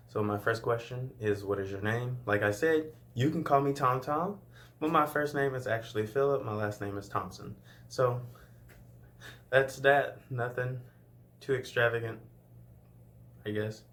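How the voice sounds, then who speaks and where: A man's voice: medium pitch, a bit bright. A man in his late twenties from Louisiana, chatting casually through a Q&A for his first vlog, with frequent laughs and rambling asides. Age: 20s. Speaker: vlogger.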